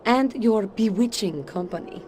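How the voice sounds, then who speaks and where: A woman's voice: medium pitch, lively intonation, a little slow, darkish tone, slight background noise, lightly acted. An actress playing a self-assured, teasing female spy in a video game, with a flirtatious manner. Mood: coy, teasing. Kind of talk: video game cutscene acting.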